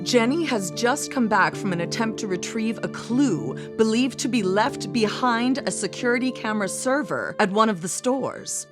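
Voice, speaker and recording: A woman's voice: on the high side, expressive, normal speed, few pauses. Woman narrating over prominent music. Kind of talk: scripted radio drama narration. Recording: loud music behind the voice.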